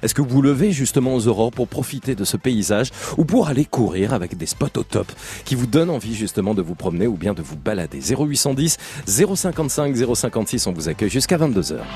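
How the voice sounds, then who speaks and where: A man's voice: fast talker, few pauses. A man hosting an evening call-in radio show, chatting and joking with a caller in a teasing way. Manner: jokey, teasing, bursts of high-pitched excitement. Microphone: studio microphone.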